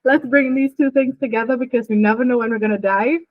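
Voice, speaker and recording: A woman's voice: high-pitched, moderate pace, no echo. Young trini woman explaining stuff chattily, tinny phone line, dry sound. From Trinidad and Tobago. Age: young adult. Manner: lively, laughing, explanatory. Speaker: marine biologist guest. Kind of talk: casual interview chat.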